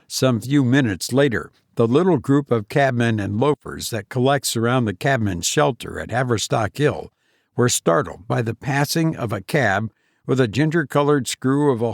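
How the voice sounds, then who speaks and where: Male audiobook narrator, measured delivery, some gravel in the voice, very dry sound. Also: mid-range voice, no echo. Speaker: audiobook reader. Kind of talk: scripted storytelling narration with character voices.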